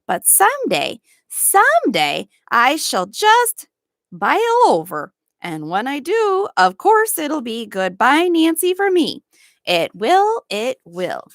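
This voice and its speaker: A woman voicing a sharp-tongued, indignant servant woman in a storybook, with a snappy, scornful rustic dialect. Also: sits high, wildly swooping pitch, slow, no echo, clean studio sound, voice pushed up, full character voice. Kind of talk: storybook read-aloud.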